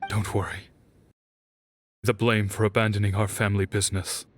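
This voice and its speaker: An actor playing a weary, remorseful middle-aged father and clan head in a video game, speaking with solemn, measured earnestness. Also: fairly low pitch, level tone, slight background noise, natural voice. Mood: resigned, tender. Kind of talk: dramatic video game voice acting.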